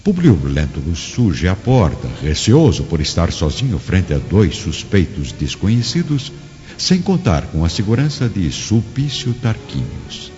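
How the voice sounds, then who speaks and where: A man narrates a radio drama in a very low, mellow voice. His delivery moves expressively, set in long reverb. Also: sing-song, unhurried. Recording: dull lo-fi, long reverb, music behind.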